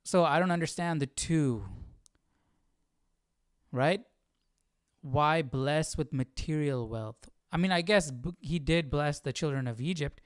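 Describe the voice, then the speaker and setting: A man's voice: a little high, a little slow, clear, resonant tone, dry recording, clean studio sound. A man hosting a live Bible study stream, thinking aloud in casual, reflective commentary and checking in with listeners. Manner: thinking aloud, often asking "right?".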